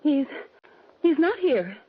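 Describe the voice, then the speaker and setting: Young ranch woman, high, anxious and devoted, thin tinny radio western sound. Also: sing-song, slow, full character voice. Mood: nervous, evasive. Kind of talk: old-time radio western acting. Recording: tinny, noisy old radio recording with clicks.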